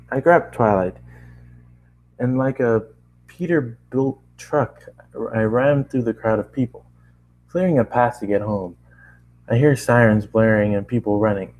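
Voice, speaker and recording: A man reads first-person story narration in a medium-pitched voice. He often trips on a phrase and goes back to repeat it before carrying on. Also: a little mellow, poor audio. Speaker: fan fiction narrator.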